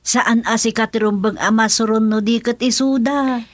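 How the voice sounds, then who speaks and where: A woman's voice: on the high side, fairly even intonation, normal speed, dry recording, natural voice. A woman acting a scolding, practical village wife in a radio comedy drama, emphatic and colloquial, with an Ilocano accent. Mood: exasperated.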